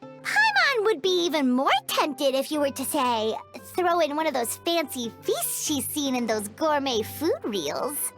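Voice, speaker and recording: A woman's voice: high-pitched, sing-song, unhurried, over music. A woman voicing a small, cheeky, childlike fairy companion girl in a video game. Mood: eager, hinting. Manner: childish, refers to self by name.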